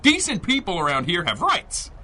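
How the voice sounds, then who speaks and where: Mocking young street thug, really sharp high voice, self-righteous tough talk, radio play. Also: male voice, huge pitch swings, normal speed, a little hiss or hum, heavily acted. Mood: self-righteous menace. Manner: taunting tough-guy talk. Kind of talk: 1930s radio drama acting.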